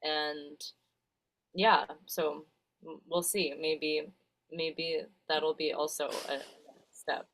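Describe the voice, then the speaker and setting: A woman's voice: sits low, level tone, extremely slow, a bit bright, poor audio. A woman trainer talking on a video call, thoughtful and trailing off mid-phrase. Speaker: trainer for mothers. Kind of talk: casual video-call interview.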